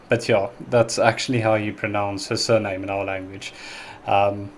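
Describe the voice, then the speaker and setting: Casual South African male host, Afrikaans first language, mid-pitched, relaxed and chatty, lots of ums. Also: slight background noise. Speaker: reaction video host. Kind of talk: casual reaction commentary.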